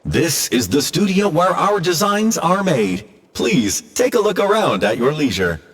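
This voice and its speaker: A polite mechanical tour guide. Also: male voice, fairly high pitch, sing-song, unhurried, background noise. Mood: formal. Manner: courteous, formal welcome speech.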